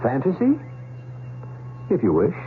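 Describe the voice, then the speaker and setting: A man narrating an old time radio mystery as host, deliberate and portentous. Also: mid-range voice, wildly swooping pitch, a little hiss or hum. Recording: tinny old radio recording, music behind.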